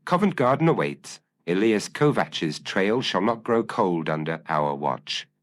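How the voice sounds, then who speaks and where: A man's voice: sits low, sing-song, unhurried, no echo. A man voicing a brilliant, intense Victorian detective in an audiobook, speaking in a precise, commanding and formal way. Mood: brisk.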